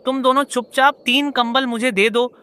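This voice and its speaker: A woman's voice: high voice, steady tone, moderate pace. A woman voicing a greedy, bullying father crow in a moral cartoon, with a blustering manner. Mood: menacing. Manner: blustering, forceful. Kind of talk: Hindi moral cartoon voice acting.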